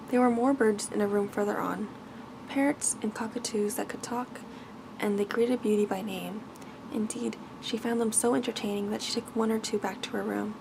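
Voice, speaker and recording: A woman's voice: mid-range voice, creaky, background noise. A woman reading a bedtime fairy tale aloud as ASMR narration. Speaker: ASMR storyteller.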